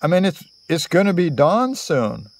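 A man in his sixties telling a rambling, improvised story in a theatrical, halting way, full of digressions and pauses. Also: fairly high pitch, very animated. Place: outdoors under cover among greenery. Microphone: phone at arm's length.

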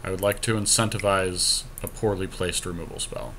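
A man with a low, bright voice talks casually through his moves in a card game. He thinks aloud and swears mildly now and then, with clear noise behind him. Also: normal speed. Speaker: podcast co-host playing a card game.

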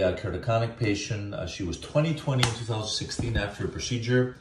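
Surgeon, low voice, echoey office. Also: male voice, noisy background. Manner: loose, thinking aloud with many fillers. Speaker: eye surgeon. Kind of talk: informal clinical case video. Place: eye clinic office, indoors. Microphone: phone camera at arm's length.

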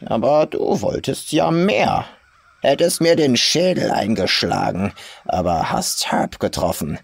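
A man's voice: medium pitch, very animated, measured pace, vocal fry, slightly rough, dry recording, voice pushed up, heavily acted. A man voicing a sinister old farm woman in a horror audiobook, folksy and coolly menacing in clipped sentences. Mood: mock-regretful, menacing. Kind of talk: audiobook horror story character.

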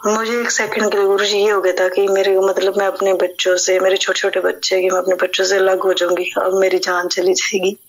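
A woman's voice: mid-range voice, flat delivery, fairly quick, few pauses. An anxious woman on a phone call, confiding earnestly and deferentially in her guru. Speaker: caller seeking help from a guru.

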